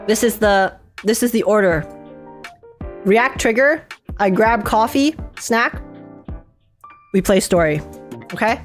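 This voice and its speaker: A woman hosting a casual gaming livestream, chatting excitably, teasing herself and repeating phrases for emphasis. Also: mid-range voice. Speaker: livestream host and gaming reactor. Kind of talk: casual livestream chat and trailer reaction.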